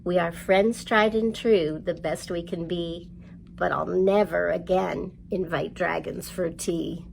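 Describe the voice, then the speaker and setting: A woman leads storytime for young children at a slow, careful pace. Her voice has a noticeable creak, and she speaks expressively in simple, encouraging language. A light layer of background noise sits in the recording. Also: fairly low pitch, fairly even intonation, poor audio. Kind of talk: read-aloud storytelling and instruction for young children.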